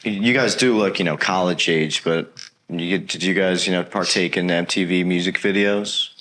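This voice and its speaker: Deep-voiced joking male host. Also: steady tone, normal speed, a bit bright. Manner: joking, loose. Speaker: interview host. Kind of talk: casual interview. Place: rehearsal studio set. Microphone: lapel.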